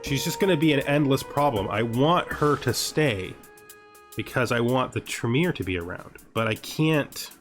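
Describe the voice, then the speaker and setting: Guy doing lets play commentary, kinda musing out loud, medium pitch voice, music in the back. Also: animated, normal speed. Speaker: gameplay series host. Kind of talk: gaming commentary with scripted intro narration. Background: background music.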